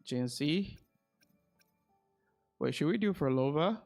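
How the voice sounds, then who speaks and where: A man's voice: fairly high pitch, lively intonation, slow, vocal fry, darkish tone, faint music behind. A man chatting casually on a game livestream, thinking aloud and repeating his phrases as he goes. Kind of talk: casual livestream chat while gaming.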